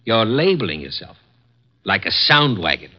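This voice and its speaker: A man's voice: fairly low pitch, big pitch swings, normal speed. An actor playing a cold, mocking male executive in a 1950s radio drama. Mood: sneering. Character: cruel sneering male advertising executive. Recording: dull old radio broadcast.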